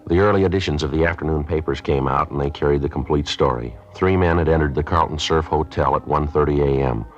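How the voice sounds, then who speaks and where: A man with an American accent playing a terse, level-headed police sergeant in a 1950s radio drama, speaking in clipped, deadpan sentences. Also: very deep voice, fast talker, over music. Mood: matter-of-fact. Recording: dull, noisy old radio recording.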